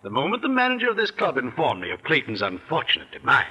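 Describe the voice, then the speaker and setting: An actor playing a haughty, sardonic middle-aged literary critic in 1940s radio style, with a pompous, wordy way of talking. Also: male voice, mid-range voice, huge pitch swings, fast, bright, forceful tone, exaggerated voice. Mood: haughty sarcasm. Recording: muffled-free but rough vintage 1940s radio recording.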